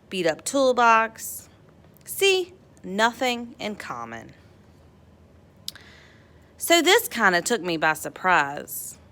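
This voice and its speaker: A woman narrates a picture book for children in a bright, forward voice. Her pitch moves in lively swings, and she leans into key words, stretching some out playfully for dramatic effect. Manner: animated, dramatic emphasis, playful drawn-out words. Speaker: storybook read-aloud narrator. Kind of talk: expressive children's picture book read-aloud.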